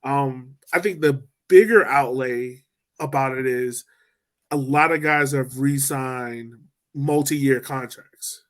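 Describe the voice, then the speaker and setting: A man's voice: mid-range voice, takes it slowly. A man hosting a casual sports podcast, talking loosely and rambling as he lays out his points. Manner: loose, rambling, laughs easily. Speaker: podcast host.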